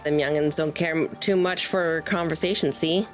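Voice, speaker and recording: Woman reading as a chatty old folksy peddler, low-pitched. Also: fairly even intonation, moderate pace, natural voice. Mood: friendly. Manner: rustic, talkative, reading aloud with stumbles. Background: game music.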